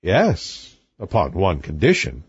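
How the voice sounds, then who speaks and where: A man voicing a calm, blunt young prince who speaks coolly, on a dull lo-fi recording. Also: low voice, slow pace, breathy edge, dry recording, voice pushed down, exaggerated voice.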